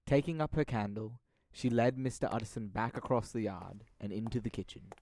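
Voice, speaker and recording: A man's voice: lowish voice, brisk, a little mellow, dry recording. Young male narrator, very dry recording. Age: young adult. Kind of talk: scripted dramatic narration.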